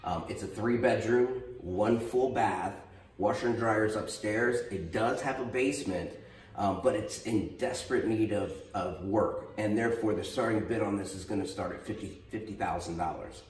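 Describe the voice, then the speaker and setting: An American man in his forties with a fairly low voice gives an informal real estate pitch to camera. He is recorded from a few feet away in a bare, echoey room, so he sounds a little distant. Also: moderate pace, darkish tone. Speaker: real estate agent presenting a property. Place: bare stairwell room in an empty house. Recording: echoey empty room, slightly distant mic.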